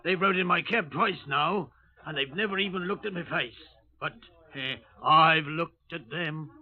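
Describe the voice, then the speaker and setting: A man's voice: fairly high pitch, measured pace, natural voice. A man playing a chatty, deferential Cockney taxi driver and police informant, rambling along in 1950s radio drama style. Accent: London Cockney. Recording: muffled narrowband old radio recording.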